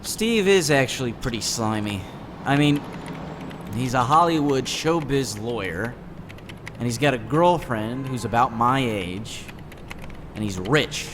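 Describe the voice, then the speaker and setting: Sardonic, world-weary teen boy, played by a man; matter-of-fact comic-dub read, mid pitch, faintly noisy home recording. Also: lively intonation, a little slow, lightly acted. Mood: jaded, sardonic. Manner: jaded, dry, matter-of-fact. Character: gloomy, sardonic teenage boy writing a suicide note. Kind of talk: scripted comic-dub acting, first-person narration and dialogue.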